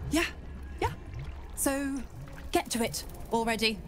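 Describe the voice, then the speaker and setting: A woman's voice: on the high side, slow pace. A woman playing a smug, taunting female devil in a video game, theatrical and condescending, who relishes holding a soul pact. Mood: impatient. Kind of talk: video game character acting. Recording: dramatic music behind the voice.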